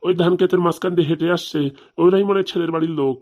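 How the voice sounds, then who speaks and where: A man's voice: a little high, steady tone, very fast, dull audio, close to an everyday voice. A man voicing a fretful village elder brother in a comic cartoon, fussing and grumbling as he hurries everyone along. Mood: anxious fussing. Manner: fussy, grumbling, hurrying.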